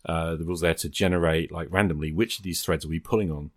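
A man's voice: low-pitched, steady tone, quick pace, dry recording, clean studio sound. A man talking casually and reflectively, explaining his thoughts as he works them out in conversation. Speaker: podcast host. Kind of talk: casual discussion of solo role-playing games.

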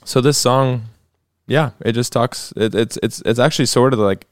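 A man's voice: medium pitch, normal speed, dry recording, clean studio sound. A man, a guest musician, talking casually and thoughtfully on a podcast, with frequent fillers and restarts.

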